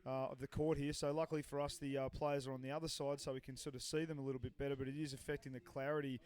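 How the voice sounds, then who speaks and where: A man leading live sports commentary in a chatty way, pausing on "uh" and passing to his partner by name. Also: mid-range voice, level tone, a little mellow, clear, resonant tone. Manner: chatty, many "uh" pauses, hands off to partner by name. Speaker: lead commentator.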